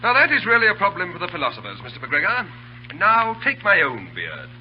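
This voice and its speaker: An actor playing a witty, steely British army officer with emphatic, theatrical delivery in old radio drama style. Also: male voice, sits high, wildly swooping pitch, fairly quick. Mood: dry, wry. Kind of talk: 1930s radio drama acting. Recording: dull, hissy old radio recording.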